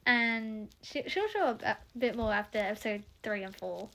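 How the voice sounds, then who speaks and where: Young woman, high-ish voice, slow and rambling, tired and slightly croaky, faint noise behind her. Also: animated, pushed and bright, low-quality recording. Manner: chatty, rambling, self-interrupting. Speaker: audio drama creator. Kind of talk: casual cast introduction.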